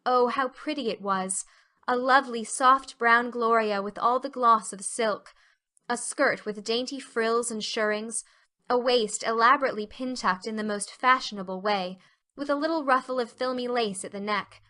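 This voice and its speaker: A woman's voice: on the high side, moderate pace, sharp tone. A woman narrator reading a scripted classic storybook for a dramatized audiobook.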